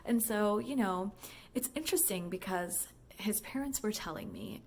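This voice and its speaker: A woman's voice: mid-range voice, expressive, normal speed. A woman talking in a casual, chatty monologue like a voicemail, musing and conversational with lots of filler words such as "like". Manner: musing, conversational, heavy use of "like" and "you know". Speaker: high school English teacher and book fan.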